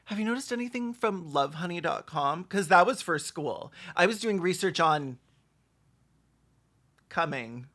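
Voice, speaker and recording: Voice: mid-range voice, lively intonation, unhurried, fairly bright tone. A person giving bawdy, sarcastic comedic commentary as the host of a reaction video, in a campy manner. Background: background music.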